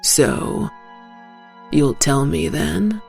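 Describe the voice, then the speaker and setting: A woman voicing a large, serious male sheriff in an audio story, speaking in a measured, grave manner. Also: low voice, animated, gravelly, darkish tone, soft background music, heavily acted. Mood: weary, probing.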